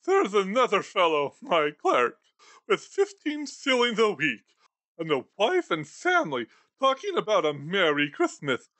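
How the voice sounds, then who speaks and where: A man's voice: high voice, wildly swooping pitch, a little slow, bright, forceful tone, no echo, heavily acted. A man voicing a bitter, miserly old male moneylender in a storybook reading, scornful and sarcastic. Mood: coldly dismissive.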